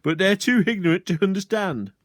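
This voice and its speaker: A man's voice: high voice, animated, a little fast, no echo, studio quality, pitched above the performer's own voice. A man voicing a sly, commanding village policeman who speaks in a bluff, hearty manner. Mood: mock-helpful.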